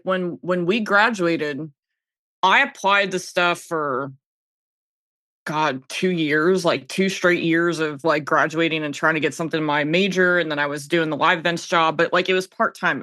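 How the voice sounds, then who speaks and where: A woman's voice: deep voice, normal speed, pushed and bright, dry recording. A woman from South Jersey with an American accent, chatting casually and telling personal stories, often breaking off and restarting her sentences. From South Jersey, United States. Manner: informal, frequent self-interruptions. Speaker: podcast guest, audio and video producer. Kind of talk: casual chat, personal storytelling.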